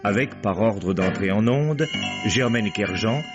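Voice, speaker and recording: A man announcing radio drama credits in a formal, measured way, in the style of 1950s French radio. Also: on the low side, animated. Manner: formal, measured listing of names. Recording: dull vintage radio, loud music behind. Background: loud theme music with guitar.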